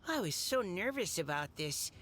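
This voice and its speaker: A woman playing a shy, anxious, soft-hearted youngster in a video game. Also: fairly low pitch, big pitch swings, moderate pace, a little hiss or hum. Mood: relieved. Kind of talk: animated video game voice acting.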